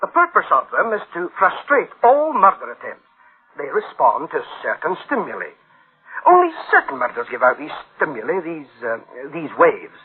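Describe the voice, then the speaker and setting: Eager high-pitched male engineer, muffled radio. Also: normal speed. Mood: insistent, reassuring. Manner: animated, insistent, colloquial. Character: eager, dismissive male engineer reassuring his worried boss. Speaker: actor in an audio drama. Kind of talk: dramatic radio acting. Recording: band-limited old radio drama sound.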